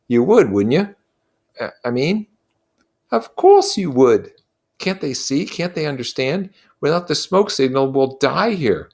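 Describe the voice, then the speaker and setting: A man's voice: mid-range voice, darkish tone, voice pushed up. A man voicing a worried, earnest boy leader in a storybook, pleading and urgent.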